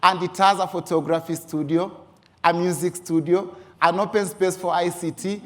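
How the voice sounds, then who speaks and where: A man's voice: high-pitched, fairly even intonation, moderate pace. A man from Kenya with a Kenyan accent, a youth organization founder giving a personal presentation and often stressing "actually". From Mombasa, Kenya. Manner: frequent emphatic use of "actually". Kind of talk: personal presentation with photo slides.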